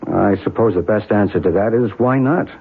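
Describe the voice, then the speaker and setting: An actor playing a calm, learned mystic guide in a radio drama, explaining things in a measured, slightly formal and enigmatic way. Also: male voice, fairly low pitch, vocal fry, husky. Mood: inviting, enigmatic. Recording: tinny old radio broadcast, faint hiss.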